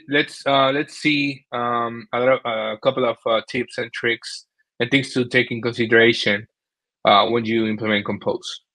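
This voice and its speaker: A man in his thirties from the Dominican Republic with a Dominican Spanish accent, giving a technical presentation over a video call in a halting way, often repeating words. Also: medium pitch, a bit bright, poor audio. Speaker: conference speaker, software developer. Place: small indoor room at home, video call.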